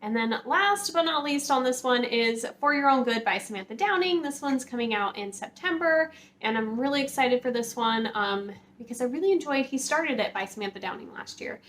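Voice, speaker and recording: A woman with a fairly high voice talks casually to her viewers as a book vlogger. She is informal and chatty, wandering into hedged asides. There is faint noise behind her voice. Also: expressive, brisk, few pauses, a bit bright. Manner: informal, hedging, rambling asides. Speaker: book vlogger, channel host.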